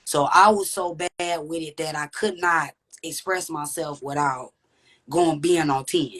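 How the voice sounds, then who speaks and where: A woman with a deep, raspy voice, speaking candidly and reflectively as a podcast guest. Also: measured pace, a bit bright. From Texas. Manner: reflective, earnest, with frequent "you know what I'm saying". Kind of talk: candid conversational interview.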